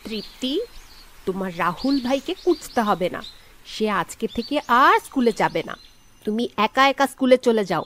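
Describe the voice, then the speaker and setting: A woman's voice: fairly high pitch, lively intonation, moderate pace, slight background noise. Woman voicing a harsh, scolding village aunt. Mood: stern, dismissive. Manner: harsh, sarcastic, shouting scolding. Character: cruel, scolding village aunt berating orphaned nephew. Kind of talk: children's cartoon voice acting.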